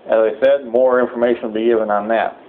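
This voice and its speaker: Male preacher, medium pitch, solemn and exhorting but halting; narrow telephone-grade audio. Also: steady tone. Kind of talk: sermon-like address with announcements. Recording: thin phone-like sound, clear background noise.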